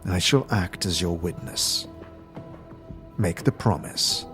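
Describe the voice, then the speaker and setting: A man's voice: deep voice, slow, a bit bright, soft background music. A man playing a stern official of a death-worshipping order, solemn and ceremonial in a fantasy audio drama.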